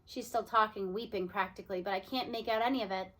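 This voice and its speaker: A woman reading a children's novel aloud in an expressive, dramatic narration style. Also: mid-range voice, quick pace. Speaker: audiobook reader. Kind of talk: read-aloud story narration.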